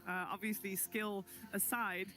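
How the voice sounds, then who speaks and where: A woman's voice: mid-range voice, steady tone, unhurried, sharp tone. A woman hosting an esports broadcast panel, steering the discussion between analysts. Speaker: panel host.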